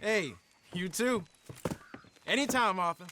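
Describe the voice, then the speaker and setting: An actor playing an earnest young outlaw in a Western video game. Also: male voice, high-pitched, slow pace, background noise. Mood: friendly. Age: young adult. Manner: earnest, informal. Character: eager young American outlaw, male. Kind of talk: dramatic video game cutscene acting.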